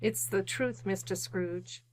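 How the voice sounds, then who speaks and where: A woman's voice: mid-range voice, slow pace, darkish tone, lightly acted, low-quality recording. An actress playing a polite, earnest, genteel lady collecting for charity, formal and courteous, in old-time radio drama style. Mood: gently reproachful.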